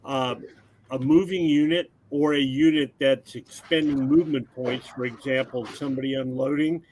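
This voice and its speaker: A man's voice: mid-range voice, fairly even intonation, moderate pace, a little mellow, slight background noise, rough recording. A man casually explaining tabletop game rules during play, in a helpful, instructive way. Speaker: guest game designer teaching the game.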